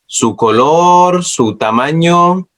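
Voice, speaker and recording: A man with a non-native accent, speaking a second language as a student presenting an educational narration in deliberate, slightly halting phrases. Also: a little high, animated, fairly bright tone. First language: not Spanish, speaks it as a second language.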